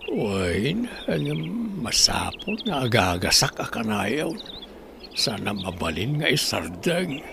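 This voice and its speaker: A tired, sickly Filipino man in a radio drama, played by an actor. His creaky voice rises and falls a lot over clear birdsong. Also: fairly low pitch, very animated, slow, background noise, exaggerated voice. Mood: weary, resigned. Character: weary, ailing man, worried about money.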